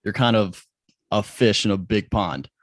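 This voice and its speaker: A man's voice: lowish voice, measured pace, dry recording, studio quality. A young man from Indiana hosting a podcast interview, chatty and self-deprecating, often restarting his sentences. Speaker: podcast host. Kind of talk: casual interview chat.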